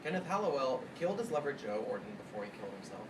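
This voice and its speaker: A man's voice: medium pitch, very animated, rapid-fire, close to an everyday voice. A man reading the part of an earnest young filmmaker in an informal drama reading, questioning persistently. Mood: earnest, intense. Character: earnest, probing young male filmmaker interviewing someone. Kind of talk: informal staged script reading. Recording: distant, echoey room, clear background noise.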